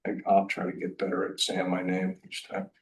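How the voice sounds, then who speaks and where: A man's voice: sits low, completely flat delivery, brisk. A man on a town board making motions and asking informal questions at a public meeting. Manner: informal, asks questions, self-deprecating. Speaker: town selectman. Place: meeting room. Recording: voice from far off the mic.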